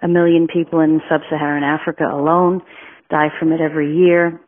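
A woman's voice: sits low, flat delivery, a little fast, narrow, tinny audio. A woman journalist putting a prepared question to a podcast. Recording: thin radio-like phone sound.

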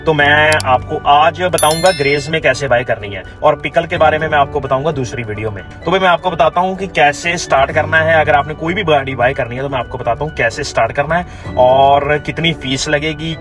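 A man's voice: fairly high pitch, fairly quick, a bit bright. A man gives a casual step-by-step explanation, repeating key points as a vlogger. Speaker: vlogger and presenter. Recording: dull lo-fi audio over music. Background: background music.

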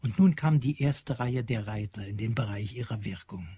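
A man's voice: mid-range voice, moderate pace, warm tone, husky, no room sound, clean studio sound. A man narrating a radio drama in a dramatic, literary, scripted style.

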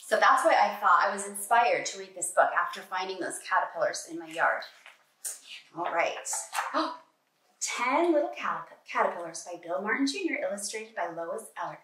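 A woman's voice: medium pitch, normal speed, slight background noise. Echoey and distant, middle-aged woman, preschool teacher, children's read-aloud, encouraging questions, exclamations. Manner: animated, encouraging, exclaiming and gasping, addresses small children. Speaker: preschool teacher reading a picture book aloud. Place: indoor kitchen at home, at a table. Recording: echoey room, away from the mic.

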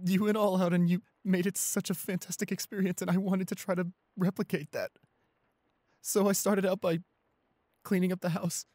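A man playing a shy, anxious, apologetic young boyfriend in an audio roleplay, halting and repeating himself in a breathy, husky voice. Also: sits high, darkish tone, no room sound, close to an everyday voice. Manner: halting, stammering, repeating pleas. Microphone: close studio microphone, dry.

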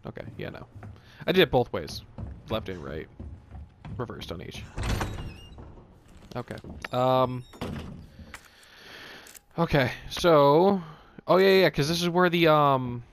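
A man's voice: mid-range voice, animated, takes it slowly, long pauses, slight background noise. A man giving casual let's play commentary, muttering to himself in a wry, joking way and swearing now and then. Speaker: video game streamer.

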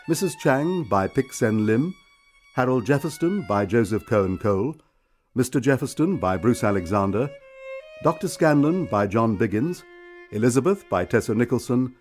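A man's voice: mid-range voice, a little mellow. English male radio announcer over violin music. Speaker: closing credits announcer.

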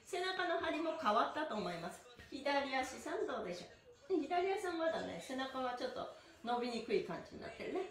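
A woman's voice: sits high, big pitch swings, a little fast, fairly bright tone. A middle-aged woman leading a live stretching class in an exuberant, cheerleading manner, calling out instructions and chants. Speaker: stretching instructor, mime school director. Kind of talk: live-streamed exercise class with counting chants. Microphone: camera mic a few metres away. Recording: echoey small room, camera mic.

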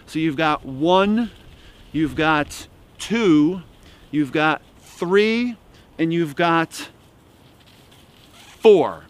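Deadpan man pitching gear in the woods. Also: very slow, long pauses. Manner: dry, mock-salesman humor. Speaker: outdoor gear vlogger. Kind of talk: deadpan comic product pitch. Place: outdoors in bare winter woods.

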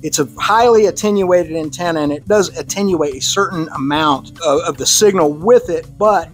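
Man giving a relaxed technical talk. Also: on the high side, moderate pace, soft background music. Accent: Texan. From Texas. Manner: relaxed, conversational explainer with casual asides. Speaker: presenter at an amateur radio club. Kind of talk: technical slide presentation. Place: club meeting room.

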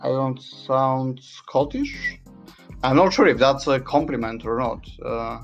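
A man's voice: mid-range voice, animated, slow, highs cut off, soft background music. A man from Bulgaria talking casually and hesitantly with a friend on a stream, with many fillers. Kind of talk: casual chat.

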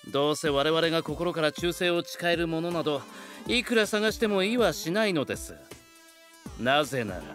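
A male retainer in an anime drama, speaking in a lightly high register. He is formal and persuasive, a calm schemer with total devotion to his master. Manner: formal, composed, persuasive speech. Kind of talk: Japanese anime voice drama acting.

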